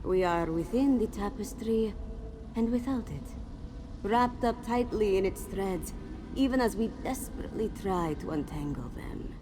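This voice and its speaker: A woman's voice: mid-range voice, animated, a little slow, noisy background, natural voice. A woman playing a grave, mystical cat-woman warrior sage in a fantasy video game, speaking solemnly and cryptically. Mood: cryptic, reflective. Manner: solemn, cryptic, speaks of self in third person.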